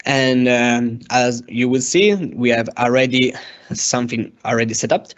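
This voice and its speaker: Slow, halting man giving a software demo. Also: mid-range voice. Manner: hesitant, halting delivery. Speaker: software engineer presenting a tutorial. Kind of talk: step-by-step software demo narration. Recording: dull, lo-fi microphone sound.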